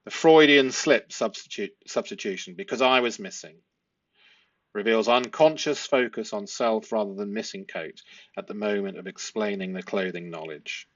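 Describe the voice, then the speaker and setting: A man giving analytical commentary to viewers as a host, speaking emphatically and deliberately, often with rhetorical tag questions. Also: on the low side, a bit bright, muffled recording. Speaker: host analysing a police interview.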